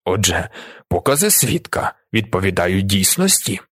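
Presiding judge, male, played by a man narrator: stern, measured, formal, low and husky. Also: sing-song, a bit bright, no echo, studio quality, heavily acted. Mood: stern, official. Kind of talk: dramatized audiobook character.

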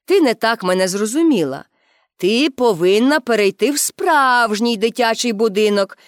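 A woman's voice: a little high, lively intonation, normal speed, dry recording, studio quality, lightly acted. A woman voicing a patient, insistent policeman in a storybook, reasoning with mild condescension. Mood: condescending.

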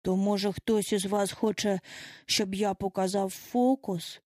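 Woman voicing a mournful, plaintive little boy, husky. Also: medium pitch, fairly even intonation, a little mellow, no room sound, clean studio sound, close to an everyday voice. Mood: mournful, pleading. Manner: plaintive, slow, sighing. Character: mournful, hesitant little boy, chatty but downhearted.

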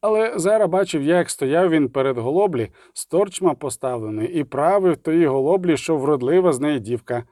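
Man putting on an aggrieved peasant, lively and high-pitched. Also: fairly quick, hardly any pauses, no room sound, clean studio sound, close to an everyday voice. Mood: stubborn. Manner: rustic, haggling, insistent.

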